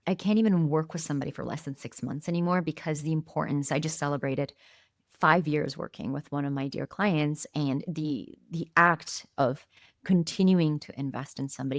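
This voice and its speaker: A woman in her thirties hosting an interview podcast, speaking reflectively and choosing her words deliberately, with repetitions for emphasis. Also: low voice, dry recording, studio quality. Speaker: podcast host.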